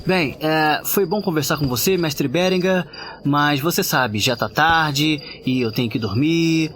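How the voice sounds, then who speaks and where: An actor playing an earnest, naive young paladin apprentice in a fantasy audio drama, respectful and a little hesitant. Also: male voice, fairly high pitch, unhurried, fairly bright tone. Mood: curious, hesitant.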